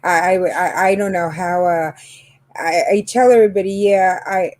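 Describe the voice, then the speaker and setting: A woman's voice: on the low side, moderate pace, darkish tone. A woman of fifty hosting a casual chat about makeup, talking with many fillers and laughs. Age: 50s. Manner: chatty, lots of fillers and laughs. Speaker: channel host.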